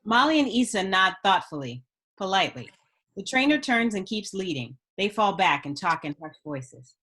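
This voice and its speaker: A woman's voice: mid-range voice, sing-song, moderate pace, a bit bright. A woman reading screenplay stage directions as a narrator at a script table read.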